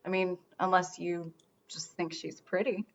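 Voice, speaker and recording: A woman's voice: lowish voice, unhurried, highs cut off. Woman playing a curt, sardonic radio producer. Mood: snappish. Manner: snappish, deadpan sarcasm. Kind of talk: comedy radio play acting.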